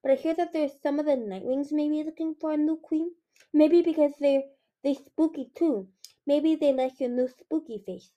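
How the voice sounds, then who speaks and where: A flock of impertinent baby dragons, piping up in high, squeaky little voices, as a woman reading aloud plays them. They chatter like small children, stumbling over words and blurting out whatever comes to mind. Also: normal speed, mellow, lo-fi sound, close to an everyday voice. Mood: cheeky.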